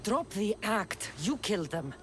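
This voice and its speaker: A woman's voice: medium pitch, sing-song, unhurried, fairly bright tone, a little hiss or hum. A woman voicing a tough, level-headed warrior woman in a video game, frank and firm in manner. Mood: firm, accusing. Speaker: investigator and fighter. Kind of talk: video game cutscene acting.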